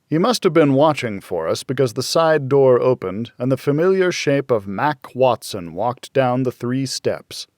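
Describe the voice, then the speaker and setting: A man narrating a thriller audiobook in the first person, with a brooding hardboiled delivery and dramatic pauses. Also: mid-range voice, animated, darkish tone, dry recording, studio quality.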